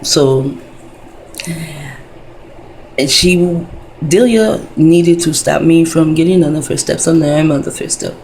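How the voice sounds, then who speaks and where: A woman's voice: sits low, takes it slowly, creaky, pauses often, darkish tone, slight background noise, rough recording. A woman in her fifties talking in a rambling casual monologue, wandering between thoughts, full of fillers and profanity. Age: 50s.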